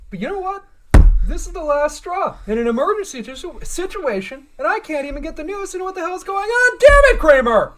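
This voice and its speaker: A woman's voice: very high-pitched, lively intonation, brisk, fairly bright tone, recorded from a distance, a little hiss or hum. A woman playing an exasperated, wisecracking male sitcom comedian who gripes incredulously in a comedic parody. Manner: incredulous, griping.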